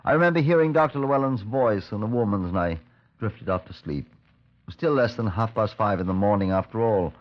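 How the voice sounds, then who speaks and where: Low-voiced police superintendent, played by a man, dull radio recording. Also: expressive, brisk, natural voice. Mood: reflective narration. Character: police superintendent recounting a case, inquisitive, matter-of-fact. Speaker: narrator and lead actor. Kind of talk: 1950s radio drama acting, narrating.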